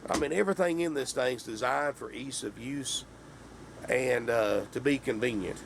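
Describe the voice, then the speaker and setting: A man, hoarse from a sinus infection, giving a folksy sales pitch for a camper van and calling his viewers folks. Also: normal speed, background noise. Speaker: RV dealer. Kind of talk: sales pitch walkthrough video.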